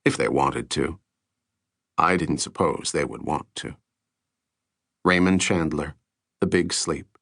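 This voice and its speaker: A man's voice: rumbling low, takes it slowly, vocal fry, long pauses, fairly bright tone. A man narrating literary prose as an audiobook reader, in a scripted noir style.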